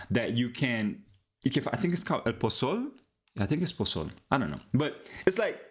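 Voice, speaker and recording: A young man from Colombia chatting casually with viewers during a live coding stream, laid-back and slangy, restarting words and sometimes dropping into Spanish, speaking into a headset. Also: mid-range voice. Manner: relaxed, slangy, switches into Spanish, frequent restarts. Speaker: live-streaming app developer.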